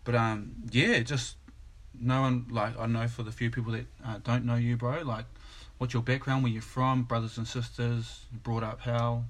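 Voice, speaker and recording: A man's voice: medium pitch, level tone, moderate pace. A man hosting a podcast, easygoing and casual, full of "like" and "bro". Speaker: podcast host.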